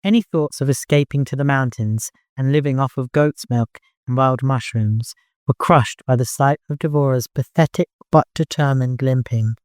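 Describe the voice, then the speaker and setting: A narrator reads dramatic fiction for an audiobook. The voice sits at a middle pitch. The recording is dry, with no room sound. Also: fairly even intonation, normal speed, dry recording.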